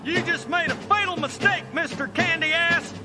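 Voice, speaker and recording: Man acting very high-pitched bossy military tough guy, loud music. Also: moderate pace. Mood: threatening. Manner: confrontational, commanding.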